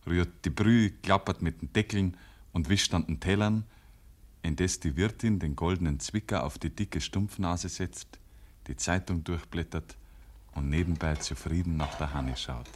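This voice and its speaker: A man with a very low voice narrates in a measured, literary style. He sounds like a 1950s radio storyteller, with faint music behind him.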